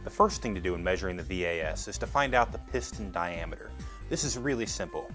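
A man's voice: sits low, animated, fast, a bit bright, soft background music. A man in his thirties giving scripted, methodical step-by-step instructions as a product demonstrator. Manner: methodical, explanatory.

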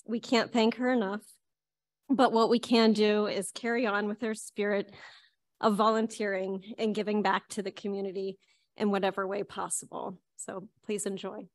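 Woman, middle-aged, conference co-chair. Average pitch, earnest prepared tribute delivered into a podium mic. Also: flat delivery, moderate pace. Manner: earnest, reading from notes. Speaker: conference co-chair introducing an honoree. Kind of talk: prepared heartfelt tribute speech. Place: conference hall stage at a podium, indoors. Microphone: podium gooseneck microphone.

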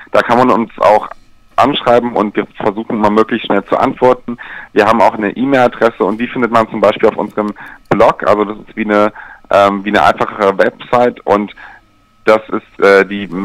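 A man explaining things in a measured, structured way as a guest in a radio telephone interview. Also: on the low side, dry recording, rough recording. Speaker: interview guest, LGBT pride event organizer. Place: remote, by telephone.

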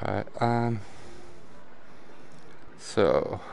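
A man's voice: fairly low pitch, animated, very slow, gravelly, a little mellow, slight background noise. A man giving casual live game commentary, slangy and sweary, muttering to himself and breaking into exclamations. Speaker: game streamer.

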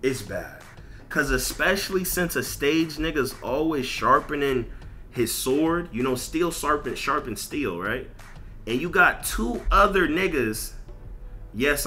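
A man's voice: mid-range voice, pushed and bright. A man ranting casually as a gaming streamer, blunt, emphatic and repetitive, with lots of slang and swearing. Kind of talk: casual livestream rant over gameplay.

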